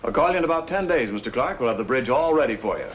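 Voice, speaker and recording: A man playing a brisk, kindly, professional dentist in a 1950s film, on an old soundtrack. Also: mid-range voice, animated, lo-fi sound, slight background noise, natural voice.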